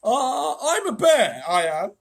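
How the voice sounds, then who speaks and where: Slow, stammering old bear. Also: sits high, very animated, creaky, pitched above the performer's own voice, heavily acted. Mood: humbly insistent. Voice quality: thick, sleepy voice. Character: oldest bear, sleepy, bumbling, humble, male.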